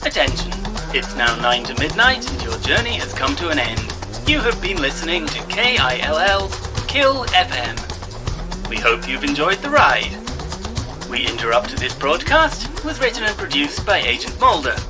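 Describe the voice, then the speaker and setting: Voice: mid-range voice, sing-song, normal speed, music in the background, close to an everyday voice. A performer playing a late-night radio host, reading a scripted sign-off and credits in a theatrical announcer manner. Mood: showy, courteous.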